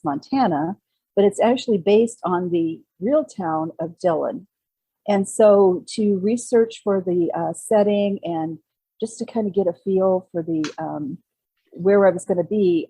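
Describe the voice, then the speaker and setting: A woman in her sixties, a guest author telling personal stories in a casual, halting conversational way. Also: mid-range voice, dark tone, slightly rough, no echo, poor audio. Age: 60s. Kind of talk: casual interview, personal storytelling.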